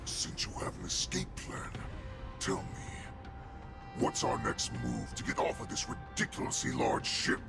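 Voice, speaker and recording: Ancient wolf-beast warlord, heavy gravelly growl, wildly swinging pitch, archaic menace, video game villain, loud music behind. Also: male voice, wildly swooping pitch, heavily acted. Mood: imperious questioning. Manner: slow, grand, archaic speech. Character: ancient freed monster warlord, menacing, brooding, commanding male wolf beast. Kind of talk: video game story voice acting.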